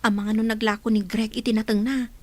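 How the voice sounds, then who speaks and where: A woman's voice: a little high, flat delivery, quick pace, dry recording, close to an everyday voice. A woman playing a lazy young student daughter in a radio comedy drama, whining and complaining. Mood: whiny, complaining. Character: lazy, whiny young student daughter who shuns farm work.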